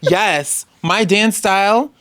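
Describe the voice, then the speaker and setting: A woman chatting casually as a podcast guest, talking in an animated, playful, emphatic way with casual slang. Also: mid-range voice, slow pace. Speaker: podcast guest, athlete and author. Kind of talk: casual chat.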